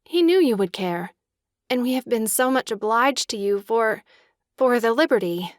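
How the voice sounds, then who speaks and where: A woman playing a gentle, timid, earnest young daughter in a period audiobook drama, speaking softly and sincerely. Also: on the high side, lively intonation, moderate pace, no echo, studio quality, natural voice. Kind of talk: full-cast audiobook period drama acting.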